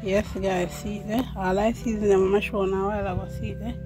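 A woman's voice: medium pitch, flat delivery, brisk, over music. A Jamaican woman casually chatting to her viewers in patois while cooking outdoors, filming on a handheld phone. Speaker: cooking vlogger. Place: outdoor yard kitchen. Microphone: phone held in one hand while filming.

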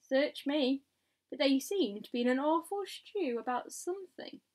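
A woman's voice: high voice, expressive, unhurried, voice pushed up. A woman voicing a cheeky, unbothered monstrous male centipede in a storybook, casual and amused. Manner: casual, amused, shouting back.

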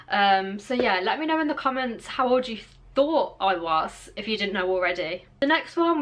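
A woman's voice: mid-range voice, fairly bright tone. Chatty young Birmingham woman vlogging. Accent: Birmingham English. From England. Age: 20s. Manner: chatty, rambling, with many fillers. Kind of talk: casual Q and A to camera. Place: bedroom with clothes rail, indoors.

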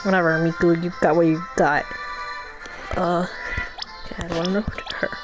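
A woman's voice: lowish voice, level tone, moderate pace, rough recording. A young adult woman giving casual, slangy game commentary with offhand swearing. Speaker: game streamer. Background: game music.

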